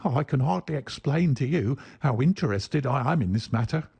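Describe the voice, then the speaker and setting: A man's voice: fairly high pitch, expressive, measured pace, vocal fry, mellow, breathy edge, dry recording, voice pushed up, heavily acted. A man voicing a nervous, frightened little man who whines and speaks in a quavering voice, in a storybook reading. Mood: nervous whining. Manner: whining, quavering.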